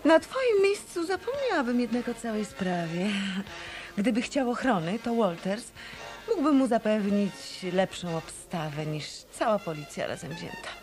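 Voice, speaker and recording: An actress playing a self-assured, sardonic, tough nightclub singer in a hard-boiled radio play. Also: female voice, fairly high pitch, huge pitch swings, moderate pace, music in the background. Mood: sardonic, cool. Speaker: radio drama actor.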